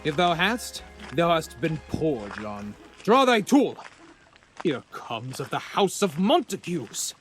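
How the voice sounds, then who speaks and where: Man acting a wry, slow-spoken servant. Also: on the high side, big pitch swings, a bit bright, soft background music, exaggerated voice. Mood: wry, teasing. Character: dry-witted, needling male servant companion. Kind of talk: Shakespearean audio play acting.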